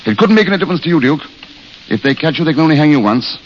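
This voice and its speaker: A man's voice: on the high side, lively intonation, racing, natural voice. A man acting a fatalistic romantic idealist in an old radio drama, courteous and literary in his speech. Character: world-weary romantic idealist, eloquent and fatalistic. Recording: hissy, dull old radio recording.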